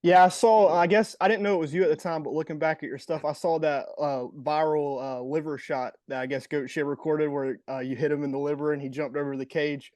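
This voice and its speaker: A man's voice: fairly high pitch, fairly even intonation, a little fast, hardly any pauses, a little mellow, no echo. A man co-hosting a fight podcast, asking loose, rambling questions with plenty of "uh" and "I guess". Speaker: podcast co-host. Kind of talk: casual interview.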